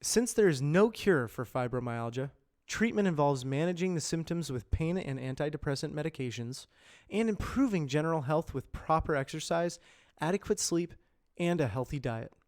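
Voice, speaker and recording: A man with a fairly high-pitched voice narrates scripted informational material. He speaks in a measured presenter's manner, enunciating carefully as in an educational voice-over. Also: expressive, darkish tone. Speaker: amateur voice actor practising reads.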